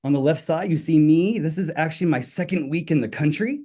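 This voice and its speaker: A man's voice: fairly high pitch, fairly quick, dark tone. A middle-aged man whose first language is Italian, giving a friendly, informal talk as a guest speaker and telling personal stories. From Italy. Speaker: guest speaker, linguistics professor. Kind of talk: personal talk on an online webinar.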